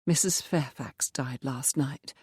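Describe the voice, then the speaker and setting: A woman's voice: a bass voice, husky, no room sound, clean studio sound, pitched below the performer's own voice, exaggerated voice. A woman reading as a firm, composed young woman. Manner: firm, quiet and measured. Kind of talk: audiobook dialogue.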